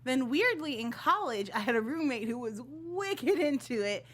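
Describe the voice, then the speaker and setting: A woman with a high voice chats as a guest on a review panel. She is casual and breezy, with informal, slangy phrasing. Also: very animated, moderate pace, fairly bright tone. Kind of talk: casual, chatty panel discussion.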